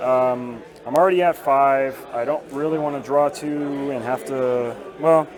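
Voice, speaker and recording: A hoarse-voiced man talking casually as he plays a tabletop wargame. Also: medium pitch, fairly even intonation, unhurried. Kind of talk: casual game talk. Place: game store during a tournament. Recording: busy game store crowd behind.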